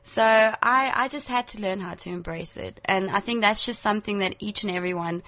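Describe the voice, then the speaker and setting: A woman's voice: medium pitch, fairly quick, fairly bright tone. A young woman with an Afrikaans South African accent, a model giving candid career advice in a casual radio interview. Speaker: model guest.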